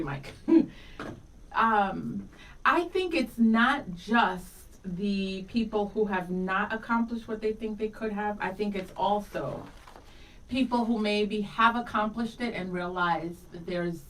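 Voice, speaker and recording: A woman's voice: mid-range voice, far from the mic, low-quality recording. A middle-aged woman talks candidly and reflectively as a radio co-host, in an easy conversational style. She sounds set well back from the microphone, with a faint bed of noise around her. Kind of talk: casual conversational radio talk.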